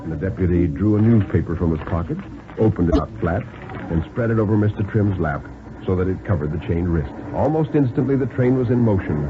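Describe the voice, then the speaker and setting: An American man giving a dramatic, expressive reading of a thriller story for 1950s radio. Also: deep voice, brisk, noisy background. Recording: narrow 1950s radio, music behind.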